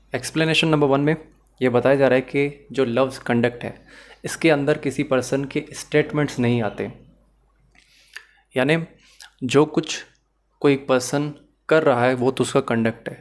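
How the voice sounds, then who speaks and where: A man with a Pakistani accent lectures on law, explaining step by step with examples and mixing English terms and phrases into his speech while addressing the learner directly. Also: medium pitch.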